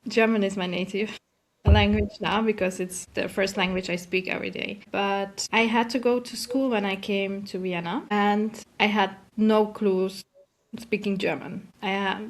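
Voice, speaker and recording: A young woman, a teacher in training, speaking in halting English and searching for words as a guest in a casual conversation. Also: mid-range voice, steady tone. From Slovakia. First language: Slovak.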